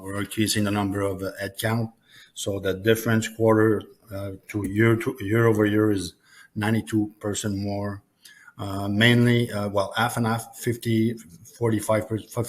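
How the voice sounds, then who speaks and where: Low, halting man. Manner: halting, hesitant delivery. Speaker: chief financial officer presenting. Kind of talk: earnings call presentation.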